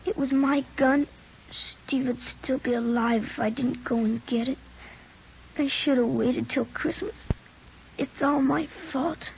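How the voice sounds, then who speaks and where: A scared nine-year-old boy in an old radio drama, earnest and close to tears. The voice is high and young. The sound is dull and muffled, like a vintage broadcast. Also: fairly even intonation, unhurried, creaky, a little hiss or hum. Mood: tearful. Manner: pleading, earnest. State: tearful. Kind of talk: 1940s radio acting.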